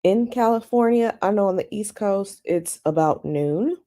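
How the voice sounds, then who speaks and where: A woman with a slightly raspy morning voice, chatting casually with viewers on a live stream from home and greeting them by name. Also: mid-range voice, lively intonation, normal speed, warm tone. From United States. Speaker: tarot channel host. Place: at home, bedroom.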